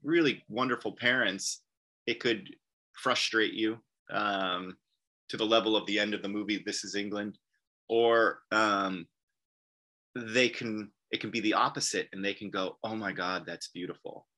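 A man's voice: on the low side, fairly even intonation, unhurried, pushed and bright. A man talking as an interview guest in loose casual conversation, rambling and often repeating "you know what I mean.". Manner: quotes people in acted voices.

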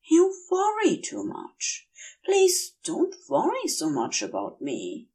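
A woman voicing a gentle, fussy male angel in an audiobook, woozy and fondly reassuring, with drifting speech. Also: sits high, wildly swooping pitch, takes it slowly, voice pushed up, heavily acted. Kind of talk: acted audiobook dialogue.

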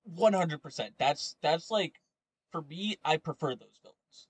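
A man chats casually as a podcast co-host about tabletop games. His voice is on the higher side and recorded very dry. He works out his opinions aloud in halting phrases. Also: expressive, husky, no room sound, low-quality recording. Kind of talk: casual tabletop gaming chat. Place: online voice call, dry sound.

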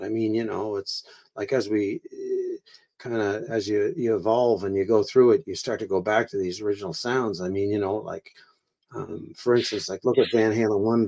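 A man's voice: lowish voice, darkish tone. A man talking as a guest in a casual chat, rambling with lots of fillers and eager agreement.

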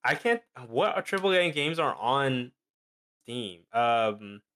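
A man's voice: mid-range voice, sing-song, slow pace, a bit bright. Hesitant young man, casual podcast. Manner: hesitant, many fillers. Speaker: podcast co-host. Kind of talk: casual chat.

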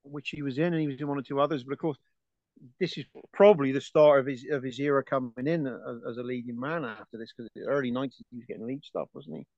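A man's voice: medium pitch, quick pace, a little mellow, no room sound. A man co-hosting a casual film podcast who laughs often and trails off into fillers. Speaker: podcast co-host. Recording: dry, dull lo-fi sound.

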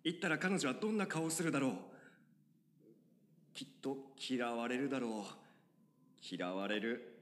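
A man's voice: mid-range voice, normal speed, long pauses, fairly bright tone, a little hiss or hum, lightly acted. A man voicing a shy, nervous teenage schoolboy who narrates his own story and stammers when flustered, in an audio drama. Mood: anxious. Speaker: voice actor. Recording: long reverb with music underneath.

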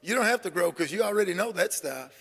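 An older man preaching a sermon, telling humorous stories in a folksy, animated way and acting out the voices of people he quotes. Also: high voice, fast, creaky, slightly rough, in a large hall. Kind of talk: sermon storytelling.

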